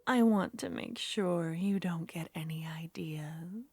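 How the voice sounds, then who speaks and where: Brief: a woman as a sweetly menacing young witch, breathy close whispers, very slow delivery. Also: fairly low pitch, a little mellow, no room sound, full character voice. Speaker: ASMR roleplay performer. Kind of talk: ASMR roleplay.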